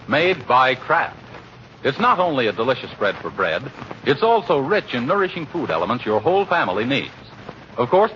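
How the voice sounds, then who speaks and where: A man's voice: medium pitch, a bit bright. A man announcing in a scripted 1940s radio style, polished and emphatic. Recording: dull, slightly noisy old radio recording.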